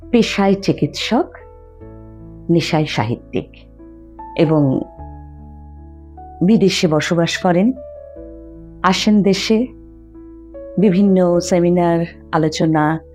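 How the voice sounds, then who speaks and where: A woman reads a short story aloud as a storyteller. Her voice is warm and mellow, unhurried and full of melodic movement, with faint music behind it. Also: on the low side. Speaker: storyteller, reader. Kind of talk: expressive narration of a short story.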